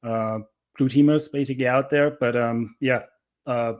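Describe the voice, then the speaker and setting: Middle-aged man, mid-pitched. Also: steady tone, moderate pace. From Austria. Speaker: interview guest, cybersecurity instructor. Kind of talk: casual conversational interview answers.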